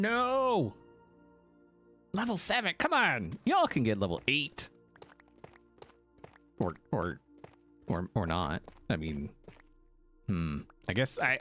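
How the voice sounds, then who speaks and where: A man hosting a game live stream, chatting casually and thanking viewers, with a gravelly morning voice. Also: medium pitch, a bit bright. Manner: playful, jokey, thanks donors rapidly. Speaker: livestream host.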